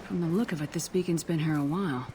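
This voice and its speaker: An actress playing a no-nonsense military commander in a video game, questioning briskly and with authority. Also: female voice, low-pitched, dark tone, slight background noise, lightly acted. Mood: businesslike.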